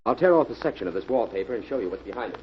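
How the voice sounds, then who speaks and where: Man acting a fast-talking radio detective. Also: lowish voice, lively intonation, close to an everyday voice. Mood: brisk, assured. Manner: brisk, assured, explanatory. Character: confident male detective explaining a solved case. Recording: muffled vintage radio recording, faint hiss.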